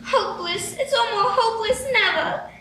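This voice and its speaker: Woman doing a prim curious little girl, super high voice, echoey room and far from the mic. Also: moderate pace, background noise. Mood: despairing. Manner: wondering, earnest and prim. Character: curious, polite young girl lost in a strange land. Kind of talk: live radio play acting. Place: live stage.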